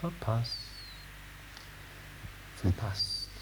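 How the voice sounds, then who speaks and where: An actor playing an aloof old male dragon in a 1950s German radio drama, speaking in a drawn-out, unhurried and repetitive way. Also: lowish voice, animated. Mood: detached, regretful. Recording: noisy 1950s radio recording.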